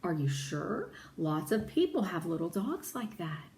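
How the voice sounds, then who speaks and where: Woman reading as a soft, weary storybook mother, unhurried. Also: fairly low pitch, sing-song. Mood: doubtful. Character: weary, gentle, thoughtful mother in a children's story. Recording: roomy echo, faint background noise.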